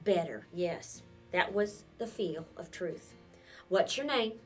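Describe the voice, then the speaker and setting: A woman voicing a wary, blunt middle-aged woman with a wooden leg, who fires off sharp, probing questions. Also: on the low side, lively intonation, takes it slowly. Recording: distant mic with faint instrumental music.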